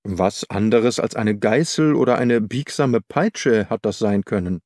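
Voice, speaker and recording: A man's voice: fairly low pitch, unhurried, a little mellow, no echo, clean studio sound, pitched below the performer's own voice, close to an everyday voice. A man voicing a shrewd, methodical detective in an audiobook, reasoning in a precise, self-assured way.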